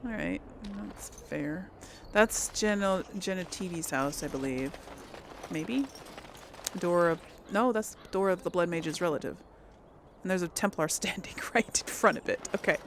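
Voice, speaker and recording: A woman's voice: on the low side, a little slow, a little hiss or hum. A woman doing casual, chatty let's play commentary, thinking aloud and reading in-game text. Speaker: host of a role-play game series.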